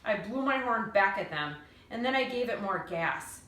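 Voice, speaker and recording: Woman, bright voice, dramatic children's book narration, emphatic delivery, echoey distant recording. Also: mid-range voice, normal speed. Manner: animated, dramatic storytelling with emphatic words. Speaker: teacher reading aloud. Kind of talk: expressive children's novel read-aloud. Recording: roomy echo, distant mic.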